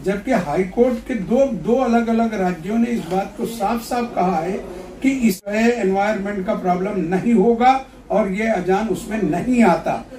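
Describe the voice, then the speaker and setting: A man's voice: sits high, mellow. A man, speaking as a politician in an interview, explaining his case emphatically and insistently. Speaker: opposition party politician giving an interview. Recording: echoey room, distant mic, background noise.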